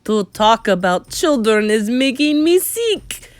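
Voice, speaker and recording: A woman voicing a tyrannical, venomous witch in a children's audiobook, screeching and thundering her commands. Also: deep voice. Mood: sickened contempt. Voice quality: screeching, harsh. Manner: thunderous, drawn-out, commanding, broken foreign-style grammar. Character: tyrannical, venomous Grand High Witch, female villain.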